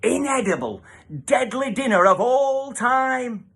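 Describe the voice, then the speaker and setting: A man's voice: very high-pitched, slow pace, vocal fry, fairly bright tone, pitched above the performer's own voice, full character voice. A man voicing an imperious, vengeful dinner lady, a scornful villain in a children's storybook. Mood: gloating, scheming. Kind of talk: storybook character voice.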